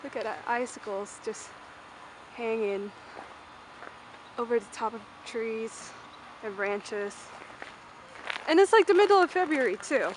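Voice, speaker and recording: A young woman with a fairly high voice talks as a student vlogger, casual and unscripted. She rambles informally, leaning on fillers as she gives a walking tour. The recording is handheld outdoor audio with faint noise behind her. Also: a little slow, long pauses. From Malaysia. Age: young adult. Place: mostly outdoors on a university campus.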